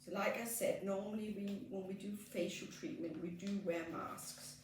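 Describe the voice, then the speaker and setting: A woman with a Danish accent, a skin therapist gently explaining a treatment as she works, with frequent fillers. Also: mid-range voice, steady tone, normal speed, far from the mic, slight background noise. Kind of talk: treatment demonstration. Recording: echoey room, off mic.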